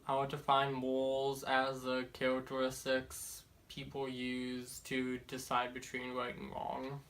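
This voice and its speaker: A man's voice: medium pitch, completely flat delivery, unhurried, fairly bright tone. A young man of eighteen giving terse, brief interview answers. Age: young adult.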